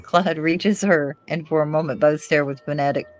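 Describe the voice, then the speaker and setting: A woman's voice: sits low, steady tone, normal speed, darkish tone, lo-fi sound. A woman reading screenplay stage directions aloud as scripted narration. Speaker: co-narrator, reader. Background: faint music.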